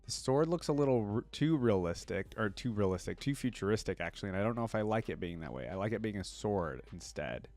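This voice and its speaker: A man's voice: on the low side, animated, fast talker, few pauses, a little mellow, soft background music. A man giving casual, slangy reaction commentary as a video host, thinking aloud as he goes.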